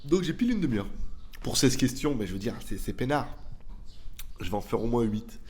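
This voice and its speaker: A man's voice: medium pitch, big pitch swings, a little fast, darkish tone. A man hosting a tech channel, chatting loosely through viewer questions with a head cold, rambling and slangy. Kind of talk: casual off-the-cuff chat.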